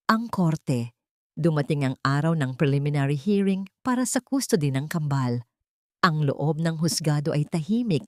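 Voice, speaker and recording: A woman with a low voice narrates an audio drama from a script. Her delivery is dramatic storytelling, recorded dry and close. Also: a little mellow, dry recording.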